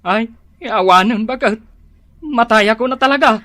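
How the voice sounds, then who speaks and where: A dry, close recording of a tense, bright male voice as a fretful husband in an Ilocano radio drama. He worries melodramatically, with an Ilocano accent. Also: extremely high pitch, normal speed, dry recording. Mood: despairing. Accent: Filipino, Ilocano. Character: fretful, fearful husband fussing over his sore throat.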